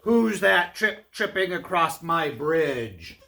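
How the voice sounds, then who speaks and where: A man voicing a mean, hungry troll for a storybook, booming and growling as he makes slow, grumbling demands. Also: big pitch swings, pushed and bright, heavily acted. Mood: menacing. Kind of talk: storybook read-aloud. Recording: clear background noise.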